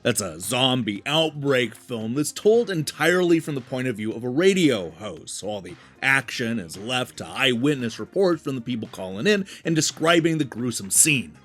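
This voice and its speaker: A man's voice: mid-range voice, expressive, normal speed, hardly any pauses, a bit bright. A man reading a scripted video game review as narration, with an emphatic, polished presenter's delivery. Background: background music.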